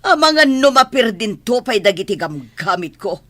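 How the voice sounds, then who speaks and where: A woman's voice: a little high, big pitch swings, normal speed, fairly bright tone. A woman playing a haughty, wealthy middle-aged mother in a radio drama, with an imperious, dramatic way of talking. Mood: haughty disdain. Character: haughty wealthy mother, disdainful of poor relatives. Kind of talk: Ilocano radio drama acting.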